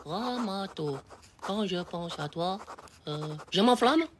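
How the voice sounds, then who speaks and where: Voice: mid-range voice, lively intonation, takes it slowly, background noise. A performer voicing a cocky, easily flustered ten-year-old schoolboy in a cartoon, slangy, stammering and defensive. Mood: lovestruck, denying. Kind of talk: animated cartoon dialogue.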